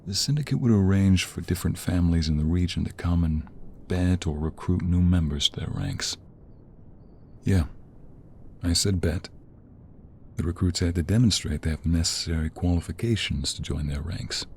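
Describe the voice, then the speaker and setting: Man acting a low, gravelly, brooding protective mobster. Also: moderate pace, darkish tone, a little hiss or hum. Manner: brooding, earnest, tender toward his lover. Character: protective, troubled young mobster devoted to his lover. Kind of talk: ASMR roleplay acting.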